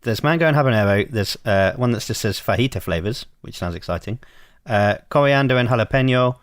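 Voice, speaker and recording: Jokey man, lively pitch. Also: dry recording, clean studio sound. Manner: jokey, quick reactions, laughs easily. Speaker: podcast co-host. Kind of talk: casual podcast chat.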